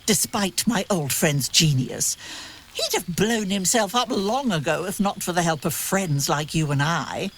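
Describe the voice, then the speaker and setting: A woman's voice: lowish voice, animated, a little slow, slight background noise. An actress voicing a haughty, doting female winged cat in a video game, with arch, condescending politeness. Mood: arch, teasing.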